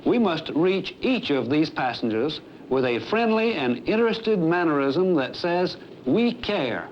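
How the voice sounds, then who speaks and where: An American man in his fifties delivers a formal staff address as a company executive. His voice is fairly high and very animated, with earnest, deliberate emphasis. It comes off a muffled 1970s film soundtrack with faint noise. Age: 50s. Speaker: airline executive presenting a staff training film.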